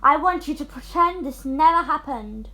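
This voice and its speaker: A woman's voice: high voice, animated, normal speed, pushed and bright, poor audio. A female reader voicing a domineering, furious stepmother in a storybook, with a shrieking, snapping manner. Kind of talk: storybook character voice.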